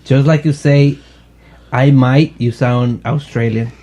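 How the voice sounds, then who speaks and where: A man's voice: medium pitch, steady tone, slow, dark tone, muffled recording. A man hosting a casual podcast with friends, teasing them and laughing often. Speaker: podcast host. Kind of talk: casual joking chat among friends.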